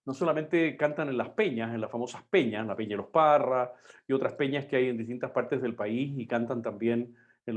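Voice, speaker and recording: A man's voice: mid-range voice, a little mellow, highs cut off. An older man from Chile, a history professor giving a live online class in a loose, conversational lecturing manner full of fillers.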